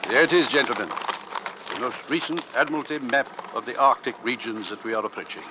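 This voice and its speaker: An actor playing a hearty, assured nineteenth-century sea captain in old-time radio drama style. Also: male voice, mid-range voice, fast talker, lightly acted. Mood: resolute. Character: hearty, assured 1850s sea captain of an Arctic rescue ship. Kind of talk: 1940s-50s radio drama acting. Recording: muffled old radio recording, faint noise.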